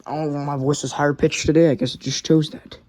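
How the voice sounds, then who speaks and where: A man's voice: on the high side, level tone, fast talker, darkish tone. A teenage boy casually showing his weather radio collection at home, rambling and often correcting himself. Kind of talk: casual show-and-tell.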